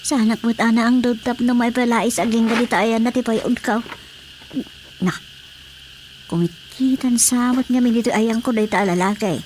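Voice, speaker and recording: A woman's voice: a little high, fairly even intonation, normal speed, darkish tone, a little hiss or hum, lightly acted, rough recording. A woman playing a nosy, muttering, exasperated village woman in an Ilocano radio drama comedy. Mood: grumbling.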